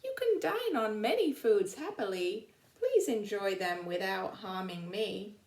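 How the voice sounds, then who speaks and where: Giant gentle storybook salamander, read by a woman, pleading in measured rhyme, roomy echoing sound. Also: medium pitch, expressive. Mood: proud, pleading. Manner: measured rhyming recitation.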